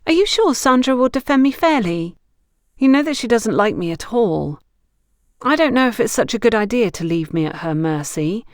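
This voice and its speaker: A woman's voice: on the high side, expressive, moderate pace, no echo, pitched above the performer's own voice, natural voice. A woman narrator acts out a needy, troubled young woman from an audiobook drama. Her speech is emphatic and dramatic, rising and swooping widely in a dry, close recording. She comes across as impulsive and exposed. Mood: anxious. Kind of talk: audiobook character dialogue.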